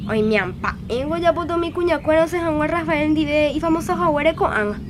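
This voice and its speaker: A woman playing a chatty high-voiced Paraguayan neighbor. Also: brisk, background noise, natural voice. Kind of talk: radio drama acting in Jopara.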